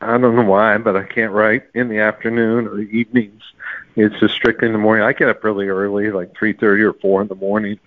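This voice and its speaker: A man's voice: on the low side, flat delivery, quick pace, dry recording. A man answering interview questions casually as a novelist, heard over a tinny, narrow line.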